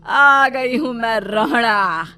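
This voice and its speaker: A woman voicing a vengeful female witch in a cartoon, gloating and cackling with slow, drawn-out threats. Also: a little high, bright, forceful tone, clean tone, recorded from a distance, faint music behind, exaggerated voice. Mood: menacing gloat. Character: vengeful female witch stealing village children. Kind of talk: cartoon voice acting.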